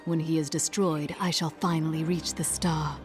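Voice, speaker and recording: An actress playing a stern, self-reliant demon hunter in a video game, cool and formal. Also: female voice, sits low, flat delivery, normal speed, close to an everyday voice. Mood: cold, determined. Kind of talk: dramatic video game acting. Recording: loud game music behind.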